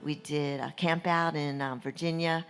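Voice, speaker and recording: A woman's voice: low-pitched, fairly even intonation, normal speed. A middle-aged woman, a touring singer-songwriter, chatting casually and laughing often as a radio guest. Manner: warm, chatty, laughs a lot.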